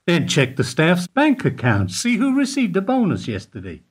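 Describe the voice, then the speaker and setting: An older actor playing a calm, philosophical bank clerk who gives short, evasive answers in a radio play. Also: male voice, sits high, husky, full character voice. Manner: measured, terse, evasive replies. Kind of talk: amateur radio play acting.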